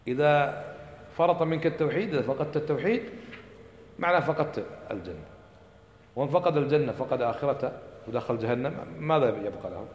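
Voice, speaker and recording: A man giving an impassioned religious lecture, firing off rhetorical questions and dropping to a low voice for emphasis. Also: lively intonation, quick pace, long pauses, a little hiss or hum. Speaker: religious teacher. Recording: echoey hall recording.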